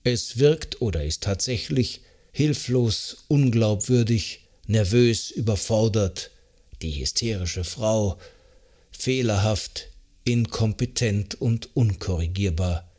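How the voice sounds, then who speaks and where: A man reads scripted nonfiction as an audiobook narrator, in an articulate, measured, explanatory way. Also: lowish voice, a little mellow. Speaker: audiobook narrator of a psychology self-help book.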